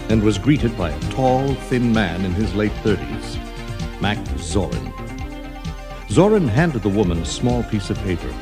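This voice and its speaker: A man narrating a scripted children's adventure story as the storybook's reader. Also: on the low side. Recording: 1980s storybook cassette with music underneath. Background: background music.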